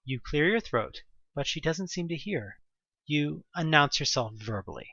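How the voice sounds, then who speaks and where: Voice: mid-range voice, lively intonation, unhurried, pushed and bright, no echo, dull audio. A narrator reading a scripted second-person story for an audio drama.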